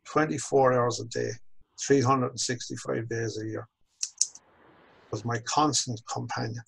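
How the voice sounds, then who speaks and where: A man in his sixties with an Irish accent, a course instructor telling his own story slowly and reflectively on a video call from a home office. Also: mid-range voice, steady tone, gravelly, husky, low-quality recording. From Ireland. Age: 60s. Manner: measured, reflective, slows down on key phrases. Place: home office with bookshelves, indoors. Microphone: video call webcam.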